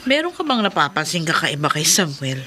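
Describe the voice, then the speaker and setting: An actress playing a skeptical, exasperated wife in a horror radio drama, emphatic and impatient. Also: female voice, low-pitched, very animated, a little fast, pushed and bright, slight background noise, exaggerated voice. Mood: dismissive.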